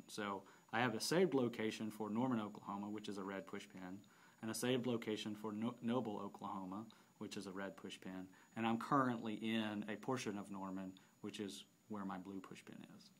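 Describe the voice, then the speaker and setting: A man narrating an unscripted software demonstration, explaining step by step with halting phrasing and repeated words. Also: lowish voice. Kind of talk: unscripted app tutorial narration.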